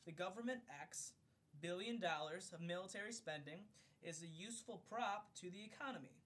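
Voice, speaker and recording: A slightly drunk man reading an economics text aloud in an amateur way, declamatory on the page and loose and profane in casual asides. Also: fairly bright tone. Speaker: amateur reader of a bedtime recording for a friend. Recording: homemade recording with low rumbling noise.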